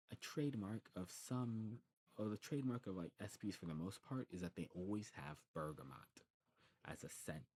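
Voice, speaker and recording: Man playing a deep-voiced, rambling demon shopkeeper. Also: darkish tone. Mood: explanatory. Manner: rambling, hesitant, explanatory. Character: male demon snuff shopkeeper, knowledgeable, fond, patient. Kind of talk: ASMR roleplay, rambling product talk. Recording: clearly noisy background.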